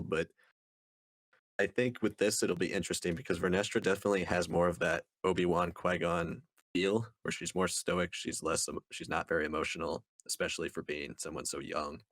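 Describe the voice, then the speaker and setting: A man co-hosting a podcast, talking casually and thoughtfully in a halting way as he gives his take on things. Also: sits low, fairly even intonation, fairly bright tone, no room sound. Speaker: podcast co-host reviewing books. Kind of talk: casual discussion.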